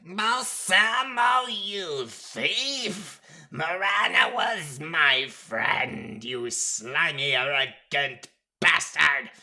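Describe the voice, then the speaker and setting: Storybook role: haughty, indignant feathered god, put on by a narrator, raised pitch, measured declamation. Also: creaky, sharp tone, exaggerated voice. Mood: furious. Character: outraged ancient Egyptian god as a floating feather quill.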